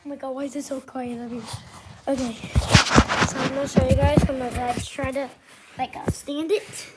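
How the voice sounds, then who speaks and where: A child with a high voice talking casually and ramblingly to viewers as a vlogger. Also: level tone, normal speed, heavy background noise.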